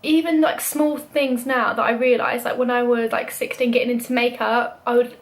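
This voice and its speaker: A woman's voice: on the high side, level tone, moderate pace. A young British woman vlogging, talking casually and openly with many "like" fillers. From Britain. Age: young adult. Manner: chatty, lots of "like" fillers. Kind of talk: casual chat.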